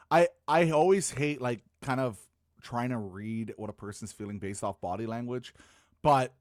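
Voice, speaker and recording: A man co-hosting a casual podcast, relaxed and quick to laugh, thinking out loud. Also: medium pitch, no echo, clean studio sound. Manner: relaxed, laughs often, speculates aloud. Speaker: co-host.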